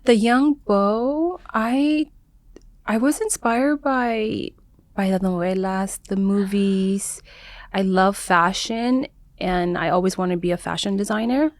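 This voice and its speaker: A woman born in Mexico and raised in San Diego, a wardrobe stylist, telling her story in an earnest, halting way as an interview guest. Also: mid-range voice, no room sound. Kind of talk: conversational interview.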